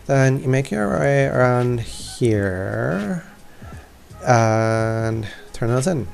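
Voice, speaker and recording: A man's voice: mid-range voice, expressive, extremely slow, creaky, a little mellow. A man giving casual, laid-back walkthrough commentary over a video game. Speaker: gamer narrating a walkthrough. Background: faint game music.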